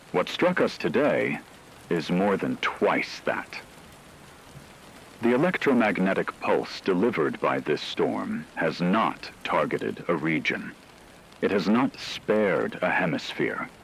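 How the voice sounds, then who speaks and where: A man's voice: deep voice, slight background noise, heavily acted, low-quality recording. A man playing a grave technical correspondent on an emergency radio broadcast, precise and formal in a scripted news report.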